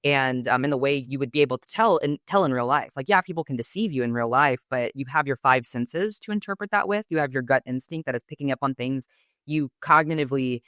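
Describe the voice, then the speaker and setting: A young woman from Texas with a fairly low, smooth, resonant voice, recorded close and dry. She talks things through reflectively and articulately, leaning on "um" and "like" as she thinks. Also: fast, a bit bright, no echo. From Texas, United States. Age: 20s. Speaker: guest. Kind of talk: podcast interview.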